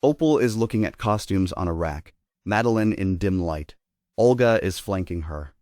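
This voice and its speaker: A man narrates a play script in a low-pitched voice. He reads scene headings and stage directions, and the sound is dry and close. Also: animated, moderate pace, darkish tone, dry recording.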